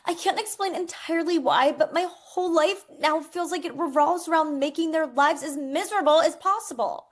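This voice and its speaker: An actress playing a haughty, petulant young mayor's daughter in a romcom table read. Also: female voice, high voice, normal speed, few pauses, natural voice. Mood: petulant, scheming. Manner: haughty, dramatic. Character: spoiled, petulant young mayor's daughter, vindictive daddy's girl.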